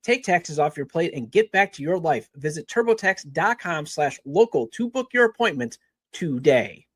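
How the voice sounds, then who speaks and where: A man's voice: fairly high pitch, animated, normal speed, a bit bright, husky, no room sound, studio quality. A man who hosts a podcast, delivering a scripted sponsor and promo read. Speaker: podcast host.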